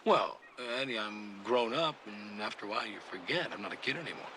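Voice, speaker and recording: An American man playing a patient, gently amused father of a young boy in a naturalistic TV sitcom, answering indulgently with hesitant pauses. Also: on the low side, lively intonation, poor audio. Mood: thoughtful, patient. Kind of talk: naturalistic television sitcom acting. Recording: older TV soundtrack, faint background noise.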